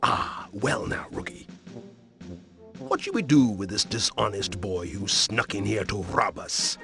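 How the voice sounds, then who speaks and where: A man voicing a smug, menacing island boss in a video game, mocking and theatrical, with drawn-out threats. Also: lowish voice, lively intonation, vocal fry, over loud music. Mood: mock courtesy.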